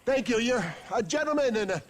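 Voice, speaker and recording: An actor playing a florid, melodramatic wounded swindler in a western video game, groaning weakly in an American accent. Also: male voice, very high voice, fairly quick, a little hiss or hum. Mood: woozy gratitude. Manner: florid, theatrical, pleading. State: injured, weak, groaning. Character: wounded, melodramatic frontier swindler begging and flattering. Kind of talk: video game cutscene acting. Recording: loud music behind.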